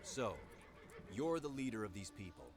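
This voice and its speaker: An actor playing a wary, dutiful American army captain in a game cutscene, terse and guarded, with an American accent. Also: male voice, on the low side, sing-song, moderate pace, over music. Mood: earnest, probing. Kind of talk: dramatic game cutscene acting.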